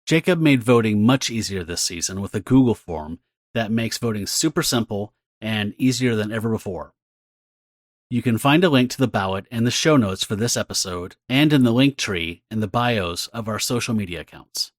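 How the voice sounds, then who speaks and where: A man's voice: lowish voice, lively intonation, moderate pace, no echo, studio quality. A man speaking as a podcast host, reading a scripted announcement.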